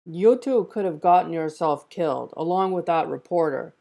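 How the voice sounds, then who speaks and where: A woman's voice: on the low side, animated, normal speed, gravelly, dark tone. A woman playing an urgent, bossy police agent at a live script table read. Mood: scolding. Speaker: actor reading a part at a table read.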